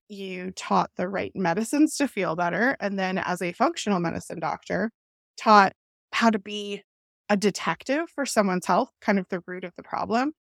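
A woman's voice: mid-range voice, vocal fry, no room sound, studio quality. A woman hosting a podcast, reading an enthusiastic introduction of her guest. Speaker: podcast host.

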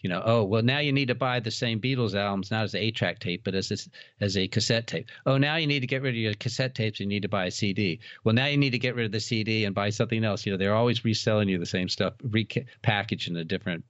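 A man's voice: on the low side, fast talker, hardly any pauses, a bit bright. A middle-aged man talking casually as an interview guest, explaining things in a folksy, enthusiastic way. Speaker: interview guest, author on old time radio. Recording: roomy, dull lo-fi sound.

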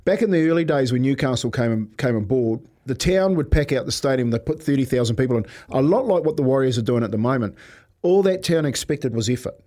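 A man's voice: medium pitch, expressive, fast talker, mellow. A man giving casual banter and analysis as a sports radio pundit.

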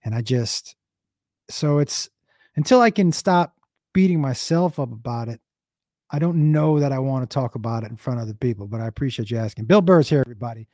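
A man hosting a casual chat, hoarse from losing his voice, halting and rambling with many restarts. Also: mid-range voice, a little mellow, no echo, studio quality. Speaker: host.

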